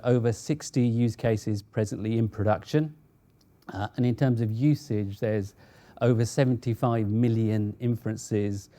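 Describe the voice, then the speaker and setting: A man speaking as a panelist in a measured, explanatory way. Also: lowish voice, mellow. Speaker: enterprise architect, panelist.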